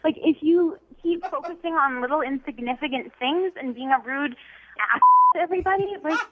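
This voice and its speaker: Female radio caller, higher voice, blunt and exasperated delivery, muffled phone sound. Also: brisk. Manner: indignant, blunt. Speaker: radio phone-in guest, rejecting a date. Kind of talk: heated conversational phone talk. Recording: dull, band-limited phone call on radio.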